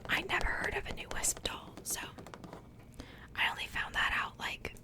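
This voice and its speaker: Female ASMR host, breathy whisper throughout, casual and chatty unboxing commentary, unhurried delivery.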